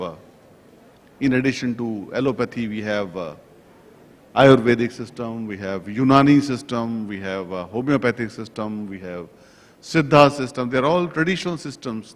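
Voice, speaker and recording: A man chairing a conference session, speaking slowly and deliberately, listing points with pauses. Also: mid-range voice. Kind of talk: formal conference address. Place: large conference hall. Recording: reverberant conference hall.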